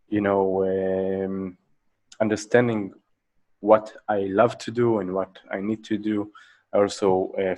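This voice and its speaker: Low-voiced man, halting. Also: a little mellow. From Israel. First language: Hebrew. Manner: halting, many fillers and restarts, laughs often. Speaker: guest. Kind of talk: casual interview chat.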